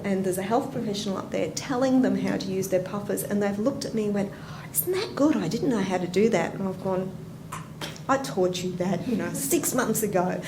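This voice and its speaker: A woman with an Australian accent, a nurse educator giving a chatty, anecdotal conference talk and asking the audience questions. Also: medium pitch. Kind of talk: conference presentation. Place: conference room. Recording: roomy hall with background noise.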